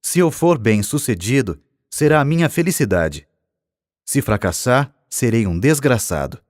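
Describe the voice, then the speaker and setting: A man's voice: medium pitch, animated, normal speed, no echo, clean studio sound, lightly acted. A man with a Brazilian accent voicing a despondent, anxious businessman of forty in an audiobook, lamenting and pleading earnestly. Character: despondent, anxious forty-year-old businessman lacking self-confidence. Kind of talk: audiobook character dialogue.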